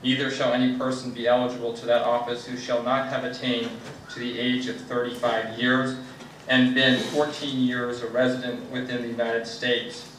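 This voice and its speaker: A man's mid-pitched voice reads a legal document formally to a gathering. It is heard from far off in a very echoey space. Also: flat delivery, normal speed, fairly bright tone. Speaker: invited guest reader, state attorney. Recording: echoey event, distant mic, faint noise.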